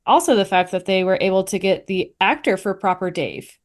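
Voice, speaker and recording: A woman's voice: medium pitch, fairly quick. A woman giving her opinions as a podcast panelist in a casual, chatty review.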